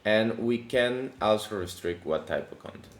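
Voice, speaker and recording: A man hosting a casual coding tutorial, his voice deepened by allergies, talking in a relaxed, chatty way with many fillers and restarts. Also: moderate pace. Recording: echoey room with faint background noise.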